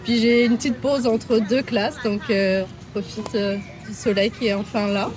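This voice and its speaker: A middle-aged woman from France, answering questions in a casual street interview in a park, thoughtful and hesitant, often laughing softly. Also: a little high, fairly even intonation, normal speed. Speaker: interviewee, passer-by. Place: outdoor city park. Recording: outdoor park noise, traffic.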